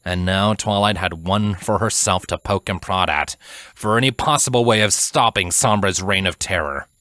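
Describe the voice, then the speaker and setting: A man reading scripted fantasy fiction as narrator, in a measured, dramatic storytelling manner. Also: deep voice, pushed and bright, no echo, studio quality.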